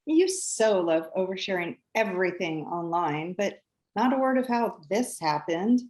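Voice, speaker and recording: A woman's voice: medium pitch, lively intonation, unhurried, a little mellow, natural voice. A woman playing a gushing, meddling pregnant best friend with a teasing, theatrical manner in a screenplay table read. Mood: teasing, pointed. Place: remote video call.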